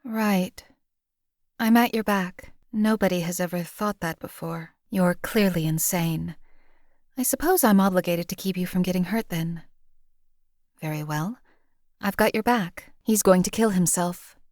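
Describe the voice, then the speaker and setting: A woman voicing a cold, detached female assassin in a game, speaking in a measured, formal, matter-of-fact way. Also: on the low side, darkish tone, dry recording, clean studio sound, natural voice. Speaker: game companion character. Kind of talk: voiced game companion lines.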